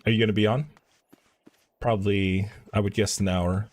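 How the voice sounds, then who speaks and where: Low-voiced man, casual game streamer. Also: fairly even intonation, moderate pace, a little mellow. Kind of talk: casual chat while playing.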